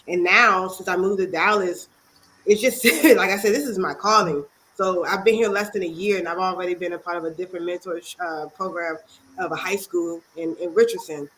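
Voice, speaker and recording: A congested woman from Maryland, speaking as a guest author and youth advocate in an interview, earnest and halting with many pauses. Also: on the low side, a bit bright, a little hiss or hum, low-quality recording. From Maryland, USA. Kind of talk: interview talk.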